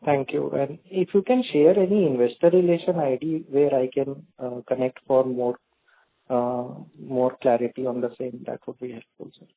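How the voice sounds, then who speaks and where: A man's voice: medium pitch, fairly even intonation, normal speed, vocal fry. A man with an Indian accent politely asking questions as an analyst on a conference call. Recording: thin phone line.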